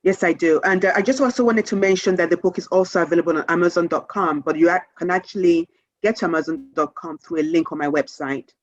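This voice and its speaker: A woman's voice: fairly low pitch, fast talker, dry recording, highs cut off. A woman from Nigeria, answering interview questions conversationally as an author.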